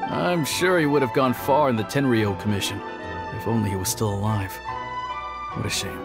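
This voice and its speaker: An actor playing an older police officer in a video game, measured and sceptical as he recounts and admonishes. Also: fairly low pitch, steady tone, over music, lightly acted. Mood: reflective. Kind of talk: video game voice acting, storytelling dialogue.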